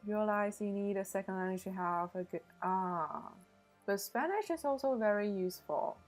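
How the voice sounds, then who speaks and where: A woman's voice: mid-range voice, expressive, moderate pace, faint music behind. A young woman from Beijing, chatting casually with viewers on a game stream and often using "like" as a filler. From Beijing, China. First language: Mandarin Chinese. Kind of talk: casual live-stream chat.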